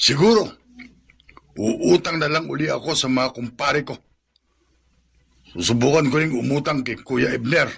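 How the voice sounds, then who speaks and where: A man's voice: medium pitch, measured pace, creaky, pauses often, fairly bright tone, breathy edge, full character voice. A man acting in a radio drama as a bitter, frustrated middle-aged father who grumbles in exasperation. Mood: weary, worried.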